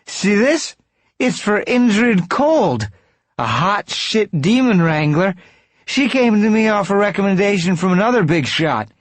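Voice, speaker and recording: High, slurred drunken hustler. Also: male voice, sing-song, a little slow, dry recording, exaggerated voice. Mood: pleading, boastful. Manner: frantic, boastful, wheedling. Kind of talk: audiobook character acting.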